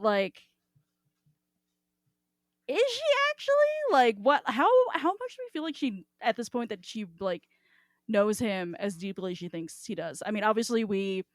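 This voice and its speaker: A woman hosting a podcast, chatting casually about fiction in an animated, halting way with frequent rephrasing. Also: mid-range voice, dry recording, clean studio sound. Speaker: podcast host.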